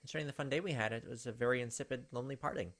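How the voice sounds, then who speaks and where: Fast-talking young man reading a game aloud. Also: mid-range voice, fairly bright tone. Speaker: gaming video host. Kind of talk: reading a visual novel aloud with casual asides.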